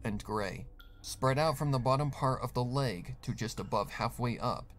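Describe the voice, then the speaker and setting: A man narrating a scripted horror story in a dramatic reading style. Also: medium pitch.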